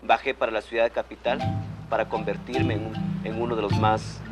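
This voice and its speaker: A man's voice: on the low side, flat delivery, moderate pace, loud music behind the voice. A man with a Guatemalan accent telling his life story as testimony, plainly, repeating and rephrasing himself. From Quiché, Guatemala. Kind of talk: autobiographical testimony.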